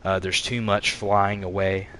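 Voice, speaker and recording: A man with a stuffy, congested voice, livestreaming a video game with casual, halting commentary and replies to chat. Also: low voice, level tone, fairly bright tone, a little hiss or hum. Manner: halting, reading and answering chat aloud. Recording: game music behind the voice. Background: video game music.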